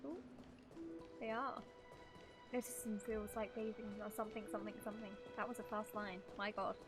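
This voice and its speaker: A young woman giving casual, giggly live reactions as she streams a game, rambling in an animated way. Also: medium pitch, brisk. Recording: loud game music behind.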